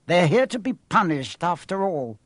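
A man's voice: a little high, measured pace, a little mellow, dry recording, voice pushed up. A man voicing a spiteful, gleeful older caretaker in an audiobook, with a cold, taunting manner. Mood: cold, nasty.

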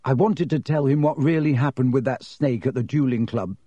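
A man's voice: mid-range voice, moderate pace, dark tone, dry recording, highs cut off, close to an everyday voice. A man voicing an indignant, hot-tempered young schoolboy hero in a storybook, earnest and tense. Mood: tense, insistent. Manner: earnest, clipped, angry.

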